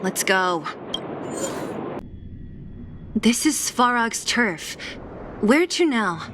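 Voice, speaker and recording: Tough young game heroine: higher voice, dry and exasperated, grudging delivery, clear music underneath. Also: female voice, big pitch swings, slow pace. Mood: wry, resigned. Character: sardonic, tough young female senior resistance fighter. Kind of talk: English video game voice acting. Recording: music playing behind the voice.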